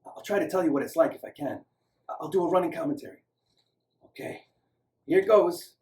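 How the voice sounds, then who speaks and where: A man voicing an obsessive, excitable young man making a video diary in a story, halting and breathless with zeal. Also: fairly high pitch, close to an everyday voice. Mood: nervous anticipation. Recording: roomy, echoing, distant microphone.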